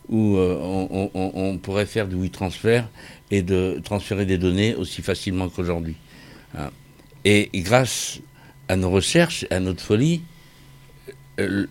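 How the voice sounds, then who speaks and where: The deep-ish voiced middle-aged man at a public debate who takes the floor and presses his point haltingly. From Vietnamese origin. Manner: halting, repeats phrases, insistent. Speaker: discussion participant, former craftsman and sound technician. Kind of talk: impassioned opinion in a group discussion.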